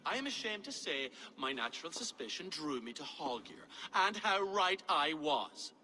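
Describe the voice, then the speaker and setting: A fussy, wronged stableman, high and very expressive in pitch. He pleads his case formally and plaintively like a game character, his voice ringing in a reverberant hall. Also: moderate pace, heavily acted. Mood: wounded, formal. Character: aggrieved, fussy, courteous male horse keeper. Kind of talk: dramatic video game acting.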